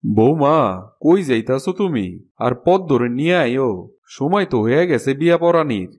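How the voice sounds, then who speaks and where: A man's voice: mid-range voice, animated, normal speed, a little mellow, close to an everyday voice. A man playing a humble, deferential old village father in a cartoon. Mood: concerned. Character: humble, agreeable village farmer, an elderly father. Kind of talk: cartoon fairy tale dialogue. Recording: background music under the voice.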